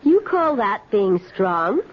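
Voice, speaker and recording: A woman's voice: medium pitch, big pitch swings, slow. An actress playing a brazen, slyly sinister young girl in a 1970s radio drama, bossy and taunting. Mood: smug, taunting. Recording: muffled, hissy 1970s radio recording.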